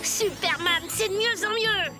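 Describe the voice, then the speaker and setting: A guileless little cartoon boy, the hero's admiring sidekick. The voice is extremely high, excitable and chatty, with music playing behind. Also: a little slow. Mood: excited. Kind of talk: French cartoon dubbing.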